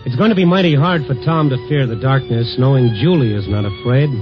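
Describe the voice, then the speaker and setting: An actor playing a world-weary newspaper reporter who narrates with dry wisecracks in a 1950s radio drama, on a dull old broadcast recording. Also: male voice, medium pitch, a little fast, over music, lightly acted. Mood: wry. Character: wry night-shift male reporter, world-weary.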